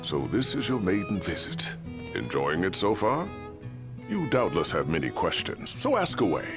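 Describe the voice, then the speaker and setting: An actor playing a shrewd, courteous older man in a game cutscene, with measured, formal, wry phrasing. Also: sits low, huge pitch swings, over music. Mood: welcoming, knowing. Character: shrewd, gravel-voiced older local man, courteous and knowing. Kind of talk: video game cutscene acting.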